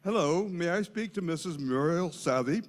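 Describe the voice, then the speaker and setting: Slick telemarketer played by a man for a comic skit: flattering, wordy, very lively delivery, on the higher side. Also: normal speed, natural voice. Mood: smooth-talking, pushy. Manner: smarmy, grandly wordy sales patter. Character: slick, flattering male telephone salesman. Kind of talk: amateur comic skit reading.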